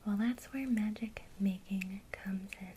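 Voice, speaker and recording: A woman teaching a witchcraft lesson in a soft, unvoiced ASMR whisper, guiding the listener gently and speaking straight to them. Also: medium pitch, steady tone, slight background noise. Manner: slow, soothing, intimate, addresses the listener directly. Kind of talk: whispered ASMR guided visualization.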